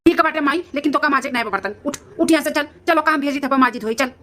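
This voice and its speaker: A high, sharp cartoon voice from a performer playing a hot-headed young village son. He blusters indignantly in an Awadhi accent, firing off his words very quickly. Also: slight background noise. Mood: grudging, threatening. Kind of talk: Awadhi comedy cartoon dialogue.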